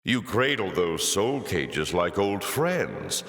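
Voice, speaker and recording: A low, unhurried demon lord for a video game. He is pompous and sly, savoring each taunt with silky sarcasm. The voice rings out in a long, echoing reverb. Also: very animated, fairly bright tone, heavily acted. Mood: mock admiring, sardonic. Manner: silky, sardonic, pompous, drawn-out teasing. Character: suave, mocking demon lord, taunting and sardonic. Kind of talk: theatrical video game villain voice acting.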